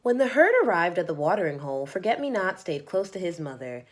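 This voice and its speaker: A woman reading a children's storybook aloud as narrator, in an expressive storytelling manner. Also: fairly low pitch, quick pace. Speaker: storybook reader.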